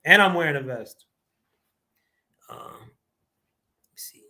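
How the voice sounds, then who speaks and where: Casting: man with a squeaky, slightly high voice, livestream host, rambling profane rant, heavy on fillers. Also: expressive, takes it slowly, bright, forceful tone. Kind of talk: casual boxing rant.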